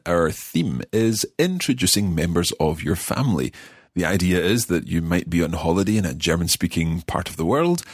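Deep husky man teaching. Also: dry recording, studio quality. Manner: clear, patient, teacherly, repeats phrases slowly. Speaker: language course host. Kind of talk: scripted podcast lesson chat.

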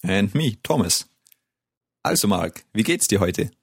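A man's voice: lowish voice, very animated, a little slow, husky, no echo, clean studio sound. Man, brief tutor. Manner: brief, corrective, encouraging tutor. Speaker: German language co-host.

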